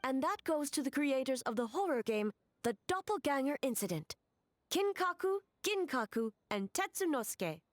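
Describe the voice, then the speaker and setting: A woman's voice: high voice, very animated, moderate pace. An actress playing a solemn, composed female shogun, stately and ceremonious in scripted game dialogue. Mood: solemn, regal.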